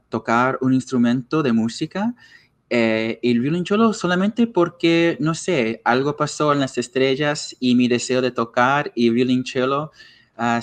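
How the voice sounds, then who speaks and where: A young man, a musician whose first language is English, talks to his webcam from a small room at home. He speaks slowly and haltingly, filling pauses with ums and ahs. His voice sits at an ordinary middle pitch. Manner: hesitant, many fillers, halting and slow. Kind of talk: casual interview to camera.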